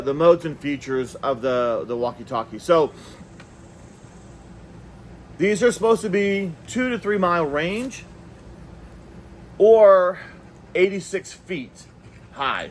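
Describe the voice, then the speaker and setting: Man giving halting gear demo, faint noise. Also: on the high side. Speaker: product reviewer. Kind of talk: casual hands-on product demonstration.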